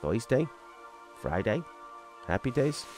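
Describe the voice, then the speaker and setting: A man's voice: mid-range voice, very animated, slow pace, a little mellow. A man giving rambling, joking commentary as he plays a game and thinks aloud. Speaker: let's play streamer. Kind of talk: casual gameplay commentary. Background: game music.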